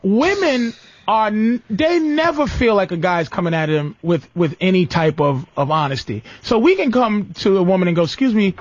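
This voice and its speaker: A man's voice: high voice, lively intonation, normal speed, no room sound. An American man hosting a talk show, giving blunt, profane, domineering advice in an unscripted comedic rant, often cutting in and repeating himself. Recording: slightly dull, lo-fi radio broadcast audio.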